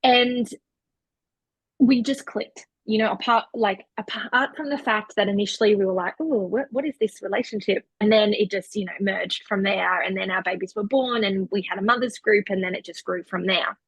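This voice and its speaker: Slightly husky woman podcast host. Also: mid-range voice, normal speed, no echo, low-quality recording. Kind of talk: casual chat.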